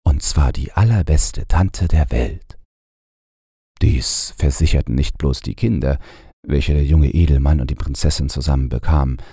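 A man narrating fairy tales as a scripted audiobook, in a measured, expressive storytelling manner. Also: rumbling low, husky, dry recording, studio quality.

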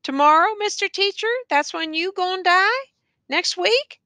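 A woman's voice: on the high side, lively intonation, normal speed, a bit bright, no room sound. A woman voicing a bitter young condemned man in a jail, needling in rural Southern vernacular for an audiobook story. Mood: taunting.

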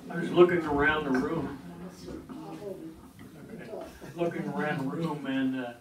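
An older man on a panel, reminiscing in a plain-spoken, halting way. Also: a little high, steady tone, a crowd in the background. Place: community meeting room. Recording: echoey room, distant mic, background noise.

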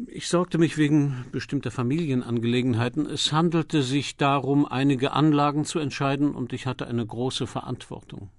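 A man voicing a courteous, understated aristocratic gentleman answering as a patient in an audiobook. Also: medium pitch, fairly even intonation, moderate pace, hardly any pauses, dry recording, studio quality, natural voice. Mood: reserved, hesitant. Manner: understated, polite, self-deprecating. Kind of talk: audiobook character dialogue.

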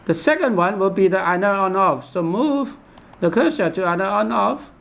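A man giving an unscripted step-by-step product demonstration in a halting, repetitive instructional manner. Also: high-pitched, animated, gravelly, fairly bright tone. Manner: halting, repetitive, instructional, with imperfect English grammar.

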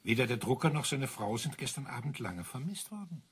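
An actor playing a composed older retired colonel in a radio crime drama, explaining things in a measured, dryly wry way with a husky voice. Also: male voice, medium pitch, animated. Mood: matter-of-fact.

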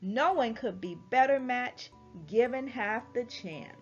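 A woman reading a rhyming children's story aloud in an expressive, deliberate storytelling manner. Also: on the high side. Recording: dull lo-fi audio with music behind.

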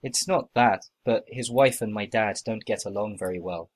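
A man acting out short scripted everyday dialogues for a listening test, with plain, expressive conversational reading. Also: lowish voice, normal speed.

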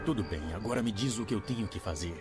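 A man playing a menacing, slyly amused villain in an animated film dub, mock-polite, with a Brazilian accent. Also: on the low side, quick pace, natural voice. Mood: conspiratorial. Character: menacing adult male villain, slyly amused, takes orders. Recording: loud music under the voice.

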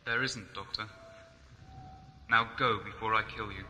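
A man's voice: fairly low pitch, steady tone, a little slow, bright, forceful tone. A man playing a grave, ruthless scientist in a science-fiction audio drama, speaking earnestly and emphatically. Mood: urgent, stern. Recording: amateur audio drama, music underneath.

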